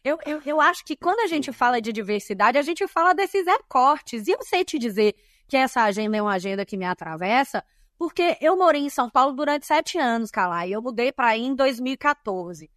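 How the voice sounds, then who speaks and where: Bahian woman guest, high pitch, dry close sound, emphatic explainer. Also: lively intonation, a little fast, a bit bright, no room sound. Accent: Bahian Brazilian Portuguese. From Bahia, Brazil. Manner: emphatic and didactic, lists points. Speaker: guest, diversity and compliance specialist. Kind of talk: podcast interview, explanatory.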